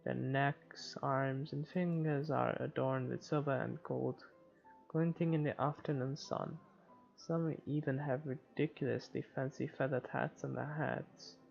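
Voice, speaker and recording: A man's voice: medium pitch, fairly even intonation, unhurried, vocal fry, a little mellow. A man reading visual novel narration aloud for a playthrough, on a dull lo-fi mic. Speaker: let's player reading game narration. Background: faint game music.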